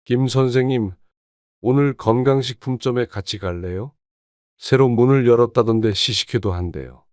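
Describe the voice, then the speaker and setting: A man's voice: medium pitch, normal speed, a little mellow, clear, resonant tone, no echo, studio quality, lightly acted. A man playing a friendly older companion in an audio story. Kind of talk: scripted audio story dialogue.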